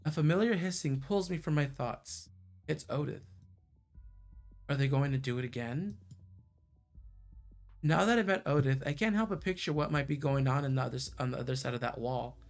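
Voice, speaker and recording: Guy streaming a visual novel read-aloud, bit high pitched, lots of fry, some music under it. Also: moderate pace, pauses often. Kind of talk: livestream narration of a visual novel. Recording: music behind the voice. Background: background music.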